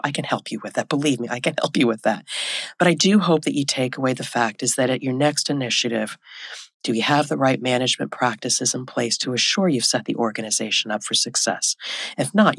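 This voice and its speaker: A woman's voice: rumbling low, no room sound. A woman hosting a solo business podcast who is losing her voice. She coaches leaders in a direct way and asks many rhetorical questions. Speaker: podcast host and leadership consultant.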